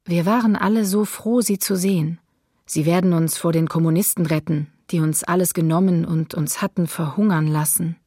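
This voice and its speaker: Female civilian, played by an actress: weary testimony, soft mellow tone, dry radio docudrama sound. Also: lowish voice, steady tone, normal speed, dry recording, lightly acted. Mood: relieved, bitter.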